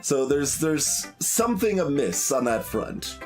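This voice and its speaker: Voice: medium pitch, very animated, measured pace. A video game streamer giving casual playthrough commentary, musing and hesitant as they think aloud. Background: game music.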